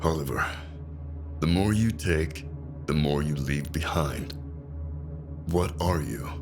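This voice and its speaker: A guarded, serious male recruit speaks in a low voice with short, probing phrases. It is audio drama acting, with music clearly behind him. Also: takes it slowly. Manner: probing, clipped questions.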